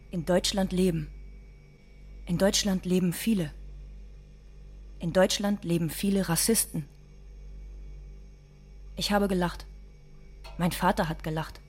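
A weary immigrant woman in a radio play. She talks very slowly in a lowish voice, halting between short, matter-of-fact sentences. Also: darkish tone. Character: weary immigrant woman, former secretary, recounting debt and threats.

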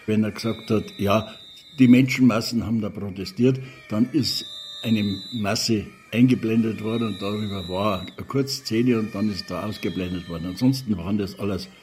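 Older man reminiscing, low voice. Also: moderate pace, a little mellow, slight background noise. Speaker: former sound engineer, interviewee. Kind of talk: recalling in an interview.

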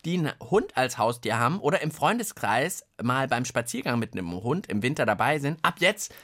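Lively male podcast host, animated pitch. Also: fairly quick. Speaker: children's science podcast host. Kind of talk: casual, playful co-hosting chat.

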